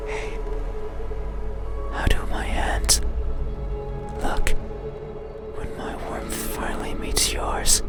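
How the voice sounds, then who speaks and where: An actor voicing an eerie, needy creature in a horror visual novel, speaking in a breathy, voiceless whisper with halting, lingering words. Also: male voice, natural voice. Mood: longing, eerie. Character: eerie, needy creature with restless grasping hands.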